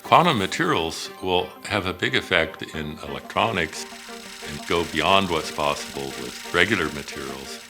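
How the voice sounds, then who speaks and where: A man's voice: deep voice, lively intonation, moderate pace, music in the background. A man who directs a research center, explaining his work plainly in a documentary interview.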